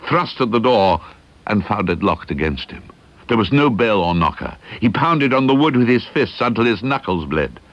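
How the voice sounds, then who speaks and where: A man giving a measured, suspenseful reading of a ghost story, slowing and hushing at the eerie moments, on an old tape recording. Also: medium pitch. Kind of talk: dramatic audiobook narration. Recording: dull lo-fi archival tape digitised from cassette.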